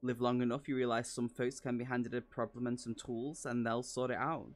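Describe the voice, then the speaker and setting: A man's voice: medium pitch, little pitch movement, brisk, close to an everyday voice. A man reading the lines of a big, battle-worn female adventurer, frank, confident and plain-spoken, from a fantasy novel. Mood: frank, persuasive.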